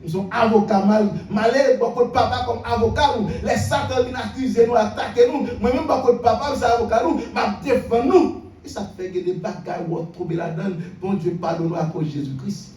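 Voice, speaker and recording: A male pastor with a Haitian accent delivers a fervent sermon in a very high voice, hammering on repeated phrases and dropping from shouts to near-mutters. He is heard from a distance in a reverberant church hall, with faint music behind him. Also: fairly quick. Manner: fervent, repetitive preaching, swings between shouted bursts and low murmurs.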